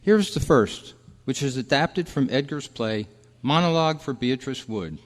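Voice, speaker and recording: A man's voice: medium pitch, expressive, a little slow. Formal male announcer in a reverberant hall. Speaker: club host and show announcer. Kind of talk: live event announcing, old-time radio style. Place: convention meeting room before an audience. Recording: echoey hall, long reverb.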